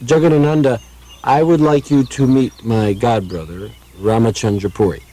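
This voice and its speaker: A man's voice: mid-range voice, steady tone, normal speed, dark tone, no room sound, close to an everyday voice. A man voicing a puppet of a welcoming devotee in a theatrical show style.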